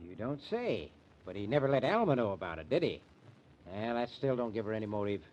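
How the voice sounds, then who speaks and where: An actor with an American accent playing a folksy, scheming small-town sheriff in an old-time radio drama, with a rustic drawl. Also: male voice, lowish voice, big pitch swings, a little fast, a little mellow. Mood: defensive, flustered. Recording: muffled, noisy old radio recording.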